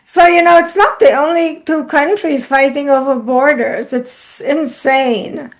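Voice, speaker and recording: A woman's voice: high voice, a little slow. A woman from Guyana hosting a casual talk show, asking questions and steering the conversation. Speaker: host.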